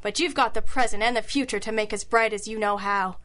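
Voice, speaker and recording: A woman playing a sharp, wry private detective in an old-school radio drama, crisp and matter-of-fact, with compassion underneath. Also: medium pitch, fairly quick, lightly acted. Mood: gentle, sincere.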